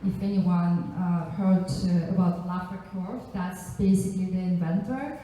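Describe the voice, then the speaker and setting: Woman, Russian accent, mellow tone, chatty and halting, informal panel introduction, heavy room echo. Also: on the low side, fairly even intonation. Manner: chatty, halting, with frequent asides. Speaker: panelist, angel investor and economist. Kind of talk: informal self-introduction to a live audience. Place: echoey indoor event venue. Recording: very echoey room, distant microphone.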